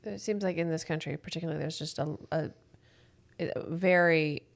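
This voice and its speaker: A woman's voice: deep voice, moderate pace, gravelly. An American woman hosting a casual interview, supportive and often affirming what she hears. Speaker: podcast host.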